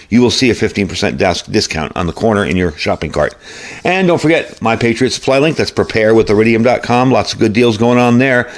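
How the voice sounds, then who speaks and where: A man giving a casual, unscripted product review in a conversational, plain-spoken way, as the host of a hobby channel. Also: medium pitch. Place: indoor workshop at a desk.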